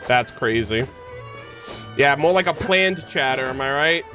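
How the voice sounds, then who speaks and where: A man's voice: medium pitch, animated, unhurried, over music. A man live streaming a game, chatting informally and jokingly with his viewers in slangy language. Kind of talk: casual game streaming chat.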